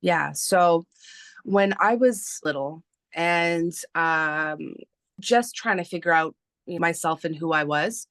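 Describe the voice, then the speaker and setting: A woman in her thirties from Canada, a native Spanish speaker, talking slowly and hesitantly with many fillers as an interview guest. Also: on the low side, a bit bright, no room sound, clean studio sound. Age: 30s. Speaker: guest, artist and publisher. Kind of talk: casual interview talk.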